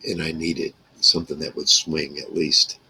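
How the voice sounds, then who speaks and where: Very deep gravelly older man. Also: little pitch movement, normal speed, bright, forceful tone, low-quality recording. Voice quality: gravelly, rough. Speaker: vlogger reminiscing about a radio hobby. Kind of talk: casual rambling monologue to camera. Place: small wood-paneled room indoors.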